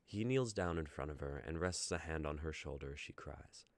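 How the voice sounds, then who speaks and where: A man reading stage directions aloud for a script reading in a plain, measured way. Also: a bass voice, animated.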